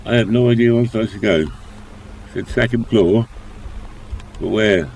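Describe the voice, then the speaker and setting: A man's voice: on the low side, moderate pace, vocal fry, darkish tone, slight background noise, poor audio. A man with a slight cough and sniffles, muttering hesitantly as he chats on a livestream while playing. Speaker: streamer playing online roleplay.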